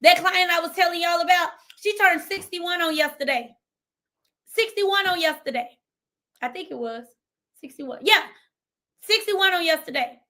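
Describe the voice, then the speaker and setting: A woman's voice: extremely high pitch, normal speed, pauses often, bright, forceful tone. A woman, talking emphatically on a livestream in a preachy way and reading viewer comments aloud. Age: 30s. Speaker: financial strategist and livestream host. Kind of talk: live stream motivational talk.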